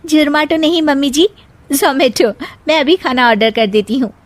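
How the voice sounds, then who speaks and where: A woman acts a clever young daughter-in-law from a family cartoon. Her voice is very high and recorded dry. She speaks with sweet, respectful politeness. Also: sing-song, slow pace, no room sound, heavily acted. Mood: helpful, confiding. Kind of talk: Hindi animated comedy story acting.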